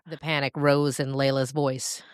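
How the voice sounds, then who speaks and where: A woman narrator reading a scripted story in a deliberate, dramatic storytelling manner. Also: rumbling low, little pitch movement, smooth, no echo, clean studio sound.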